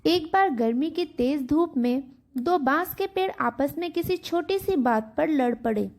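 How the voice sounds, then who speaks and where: High-pitched woman narrating a children's story. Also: lively intonation, unhurried, a little mellow.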